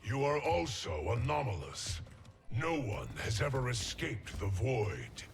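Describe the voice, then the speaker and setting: An actor playing an eternal, imperious superhuman villain in a video game, calm and menacing, speaking in grandiose, measured phrases with a gravelly voice. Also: male voice, mid-range voice. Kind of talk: video game cutscene acting. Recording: music under the dialogue.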